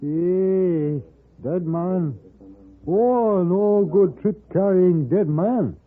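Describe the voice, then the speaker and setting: An actor playing a worried servant who speaks halting broken English in a radio western. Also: male voice, high voice. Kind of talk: 1950s radio western acting. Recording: tinny old radio.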